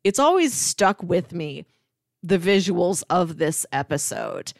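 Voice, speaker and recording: A woman co-hosting a podcast, casually retelling scenes like an animated storyteller. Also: lowish voice, takes it slowly, gravelly, no echo, studio quality. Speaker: podcast co-host.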